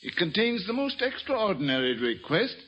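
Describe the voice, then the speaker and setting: An actor playing a formal, earnest college dean in an old-time radio drama, speaking in a pompous, declamatory way. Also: male voice, sits high, very animated, moderate pace, a bit bright. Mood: formal, grave. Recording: muffled, slightly noisy old radio recording.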